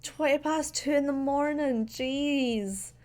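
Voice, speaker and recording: A woman's voice: high voice, slow, a little mellow. A woman from Scotland with a central belt Scottish accent, chatting casually on a livestream at her desk, rambling with lots of fillers and laughs. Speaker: streamer. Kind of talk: casual livestream chat while drawing. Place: home desk. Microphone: desk microphone. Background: faint background music.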